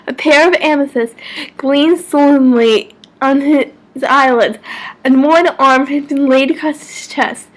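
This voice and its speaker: A woman giving a mock-dramatic amateur story reading, theatrical and deliberate, now and then stumbling over words. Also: high-pitched, poor audio. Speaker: amateur reader of fan fiction.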